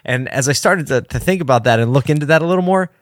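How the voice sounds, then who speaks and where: Hoarse, tired man hosting a podcast. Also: fairly high pitch, animated, fast, dry recording, clean studio sound. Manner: candid, rambling, pepping himself up. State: hoarse and low on energy. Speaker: podcast host, agency owner. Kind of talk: casual solo podcast talk. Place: office. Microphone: desk mic in his office.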